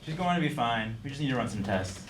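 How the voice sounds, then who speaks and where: A man's voice: medium pitch, expressive, fast, lightly acted. A man playing blunt, matter-of-fact side characters in a live comedy table read. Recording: very noisy live stage.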